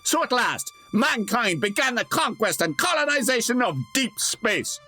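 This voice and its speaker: A man's voice: very high-pitched, sing-song, moderate pace, pushed and bright, faint music behind, heavily acted. A man playing a chatty, wry old-time radio announcer, theatrical and pompous, given to tongue-in-cheek asides. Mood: mock-solemn, wry. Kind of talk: theatrical scripted radio narration with comic asides.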